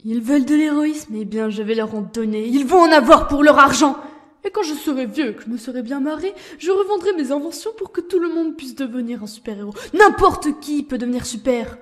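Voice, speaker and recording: Girl doing a smug bitter wannabe superhero villain, high pitched, showy cartoon dub. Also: lively intonation, a little fast, a bit bright. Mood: bitter, boastful. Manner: smug, theatrical, boastful. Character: cocky, resentful self-styled superhero turned villain inventor. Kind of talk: French animated superhero fandub.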